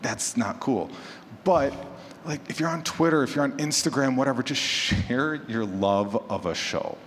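A man's voice: mid-range voice, normal speed. A man talking casually on a panel, in a conversational, encouraging manner. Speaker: panelist and horror fiction podcaster. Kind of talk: casual panel talk to an audience. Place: large indoor room at a convention panel. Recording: echoey hall with clear background noise.

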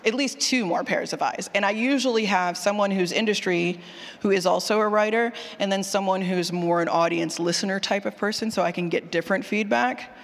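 A woman giving a casual, candid talk as a presenter, animated and self-deprecating, often acting out her own thoughts. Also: medium pitch, fairly quick. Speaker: presenter, fiction podcast writer. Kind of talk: casual conference talk with audience questions. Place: indoor session room with long reverb. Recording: reverberant hall.

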